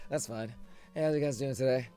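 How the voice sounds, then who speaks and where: Hoarse man chatting on a live stream. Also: medium pitch, normal speed, warm tone, faint music behind. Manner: rambling, chatty, laughs often. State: hoarse, just woke up. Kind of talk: casual live-stream watch-along chat.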